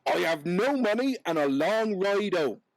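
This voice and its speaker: A man's voice: high-pitched, expressive, measured pace, vocal fry, no room sound, pitched above the performer's own voice, full character voice, poor audio. Man doing a bumbling peddler. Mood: earnest. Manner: earnest, bumbling, polite. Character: cheery country peddler man, earnest and easygoing.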